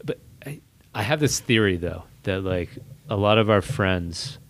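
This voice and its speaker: A man's voice: lowish voice, animated, measured pace, a bit bright. A man in his thirties chatting casually as host, rambling and correcting himself as he goes. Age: 30s. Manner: rambling, hedging, self-correcting. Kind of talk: casual chat.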